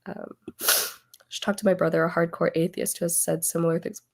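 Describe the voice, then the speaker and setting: A woman hosting a casual book club chat over a video call, losing her voice as she gets over an illness, chatty and quick to laugh. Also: fairly low pitch, little pitch movement, vocal fry, darkish tone. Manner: chatty, laughs often, trails off.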